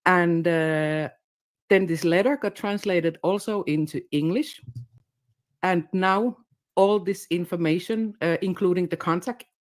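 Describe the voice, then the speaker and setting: A woman with a low voice explains a plan in a clip. She speaks hesitantly and pauses often on filler sounds. Her delivery stays casual and plain. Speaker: guest video clip.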